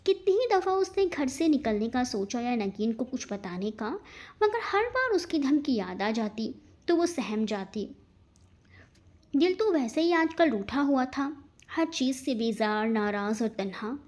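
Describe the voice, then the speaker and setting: A woman's voice: fairly high pitch, sing-song, measured pace, a little mellow. A woman reading scripted fiction as a narrator, in a dramatic storytelling style.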